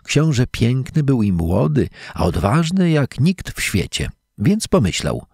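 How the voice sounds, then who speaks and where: A man reading a fairy tale in verse aloud, as a measured, dramatic storyteller. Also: medium pitch, big pitch swings, a little mellow, slightly rough, no room sound, clean studio sound. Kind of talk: expressive scripted fairy-tale narration in verse.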